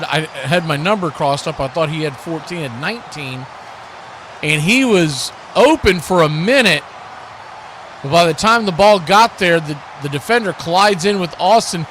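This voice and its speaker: A man's voice: high-pitched, a bit bright, a little hiss or hum. A man doing live football radio play-by-play in short, clipped, conversational calls. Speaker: play-by-play announcer.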